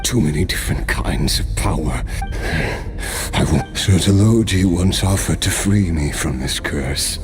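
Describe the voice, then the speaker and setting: An actor voicing a grave, weary warrior in an anime game, solemn and formal in manner. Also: male voice, sits low, unhurried. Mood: strained, grave. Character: grave, weary male warrior burdened by a curse. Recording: game music behind the voice.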